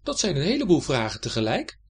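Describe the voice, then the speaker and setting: A man's voice: a little high, huge pitch swings, quick pace, slightly rough, pitched above the performer's own voice, full character voice. A man voicing a cautious, sceptical young girl in a children's story.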